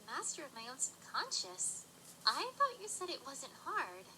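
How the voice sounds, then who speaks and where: Woman playing a studious young unicorn, precise, practical, bright and animated. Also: sits high, moderate pace, a little hiss or hum, heavily acted, rough recording. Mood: earnest. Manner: earnest, precise, slightly prim. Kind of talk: fan audio drama acting.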